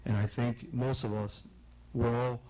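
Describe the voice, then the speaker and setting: A man's voice: mid-range voice, little pitch movement, a little slow, dark tone, soft background music, poor audio. A man giving firm public comment as a longtime resident, urging officials to listen.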